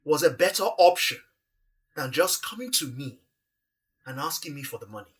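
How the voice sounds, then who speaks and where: An actor playing a quietly angry, betrayed son in an audio drama, controlled and deliberate. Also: male voice, fairly high pitch, expressive, close to an everyday voice. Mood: restrained anger.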